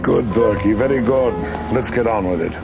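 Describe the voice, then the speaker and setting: An actor playing a pompous, gloating supervisor of a burial satellite, theatrical and drily sardonic in a radio drama. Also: male voice, on the low side, normal speed, vocal fry, lo-fi sound, loud music behind the voice. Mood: pompous, businesslike. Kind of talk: audio drama acting.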